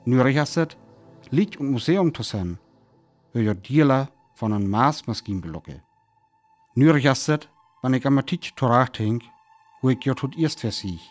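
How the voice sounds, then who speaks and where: Actor as solemn North Frisian war survivor, music behind. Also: male voice, mid-range voice, expressive, moderate pace, natural voice. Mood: wistful. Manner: solemn, reflective. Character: reflective male survivor writing his account of a Martian war. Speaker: radio play narrator. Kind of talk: dramatic radio play narration. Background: soft music.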